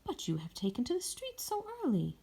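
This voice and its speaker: Reader doing a musical fortune teller lady in a storybook, teasing but kind, lifted pitch. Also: huge pitch swings, brisk, gravelly, warm tone, slightly rough, full character voice. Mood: surprised, teasing. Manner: wailing, lilting, teasing.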